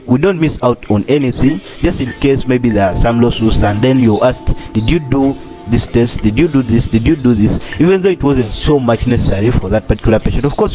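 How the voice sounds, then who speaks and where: Husky young man rambling over music. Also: medium pitch. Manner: rambling, repeats phrases, many fillers. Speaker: doctor in training, channel host. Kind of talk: casual vlog commentary. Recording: clear music playing under the voice.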